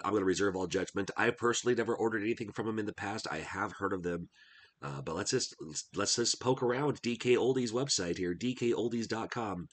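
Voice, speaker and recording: A middle-aged man talks to camera from his desk at home. His voice is husky and stuffed-up from a cold, and it sits low but swings widely. He muses aloud in a chatty, rambling way while browsing. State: congested, voice shot from a cold. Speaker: retro game channel host. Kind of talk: casual screen-share commentary.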